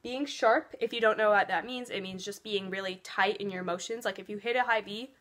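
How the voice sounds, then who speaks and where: A woman's voice: steady tone, fairly quick, fairly bright tone. A teenage girl vlogger giving casual tips to camera, chatty and full of "like" and "you know". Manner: chatty, lots of "like" and "you know", swoops up in pitch for emphasis. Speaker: beauty and cheer vlogger.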